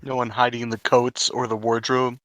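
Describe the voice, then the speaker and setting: Male tabletop player, bright and forceful tone, dry recording, casual conversational delivery. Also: mid-range voice, little pitch movement, a little fast, no room sound. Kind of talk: casual in-game chat.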